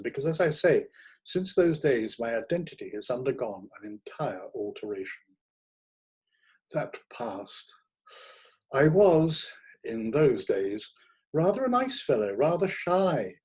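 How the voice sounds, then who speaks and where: A man reading an audiobook in the role of a troubled, rambling young dramatic critic, theatrical and hesitant, swinging from hushed to emphatic. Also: mid-range voice, breathy edge. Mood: mannered, self-conscious.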